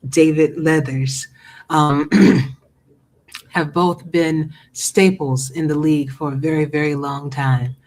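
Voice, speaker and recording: A man hosting a casual chat show, his voice going hoarse, upbeat with many ums. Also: a little high. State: losing his voice. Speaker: podcast host.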